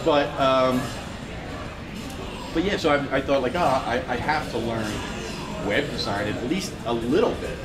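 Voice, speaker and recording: A man's voice: medium pitch, heavy background noise. A man in his fifties giving a casual, self-deprecating talk at a meetup, laughing often as he tells stories. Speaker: guest speaker. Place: meetup room in a store, in front of a screen. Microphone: far camera. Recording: echoey room with loud ambience.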